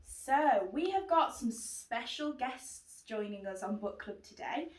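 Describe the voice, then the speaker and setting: A woman's voice: on the high side, lively intonation, measured pace. A woman hosting a children's book club, chatting to young viewers and pets in a presenter's style. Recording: roomy echo, distant mic.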